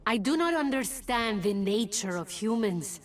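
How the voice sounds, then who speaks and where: A woman playing a fierce, proud huntress and pack mother of a jungle tribe in a video game, speaking with a deliberate, commanding menace. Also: on the high side, lively intonation. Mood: proud, solemn. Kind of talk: video game character voice lines.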